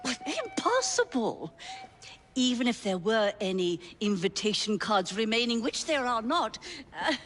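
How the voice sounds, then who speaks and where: An actress playing a flamboyant, snobbish high-society lady with a theatrical, gushing manner in a video game cutscene. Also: sing-song, a little slow, fairly bright tone, slight background noise. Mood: haughty.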